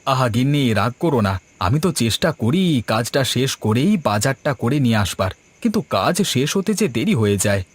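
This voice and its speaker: A man's voice: medium pitch, animated, a little fast, no room sound, lightly acted. A man voicing a mild, placating village husband and father in a cartoon folk tale. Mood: placating, caring. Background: clear background music.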